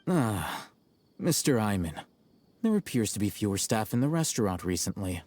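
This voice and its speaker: Low, mellow, deadpan scholar. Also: male voice, slight background noise. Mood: calm, coldly threatening. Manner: measured, deadpan, formal. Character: cool, calculating young male scholar, bluntly self-assured. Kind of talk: video game voice acting.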